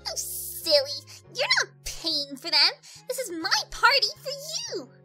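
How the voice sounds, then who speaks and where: A woman voicing a hyperactive, cheery pink pony mare in a fan fiction reading, bubbly and squeaky. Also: unhurried, faint music behind, full character voice. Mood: playful, excited. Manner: bubbly, exclaiming, excitable.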